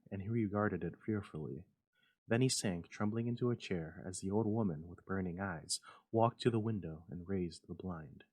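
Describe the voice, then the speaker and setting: Guy reading a spooky story out loud, fairly deep voice, dramatic suspenseful narration. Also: husky. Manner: suspenseful, theatrical. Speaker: library staff storyteller.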